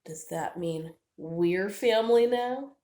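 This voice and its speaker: A woman voicing a small, excitable and tender squirrel in a children's storybook, with expressive, exclamatory delivery. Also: mid-range voice, takes it slowly, lightly acted. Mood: curious. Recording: roomy, echoing indoor sound.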